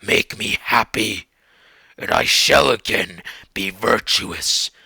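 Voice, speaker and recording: A tragic monster, super gravelly n forceful, slow old-timey dramatic speech, pleading and bitter. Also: male voice, on the low side, lively intonation, no echo, exaggerated voice. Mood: mournful, reproachful. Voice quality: gravelly, rasping. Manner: slow, archaic, theatrical delivery. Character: anguished male monster pleading with his creator, bitter and eloquent. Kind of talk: dramatic literary monologue.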